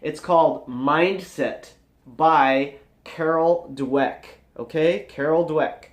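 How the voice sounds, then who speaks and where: An upbeat, high-energy man chatting casually as a vlog host, his voice moving animatedly up and down. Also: slow. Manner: animated, high-energy, rambling with swooping register. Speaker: vlogger and host. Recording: echoey room.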